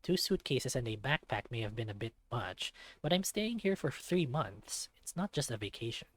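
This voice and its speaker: A man's voice: mid-range voice, animated, moderate pace, no echo, close to an everyday voice. A man voicing a self-deprecating young male otter, narrating a visual novel in the first person with wry self-mockery. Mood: mildly weary.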